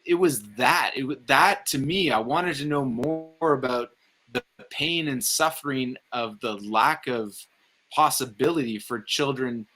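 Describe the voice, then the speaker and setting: A man's voice: mid-range voice, unhurried, bright, forceful tone, low-quality recording. A man in a casual film discussion, speaking emphatically and repeating phrases to press his points. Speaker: film review podcast panelist.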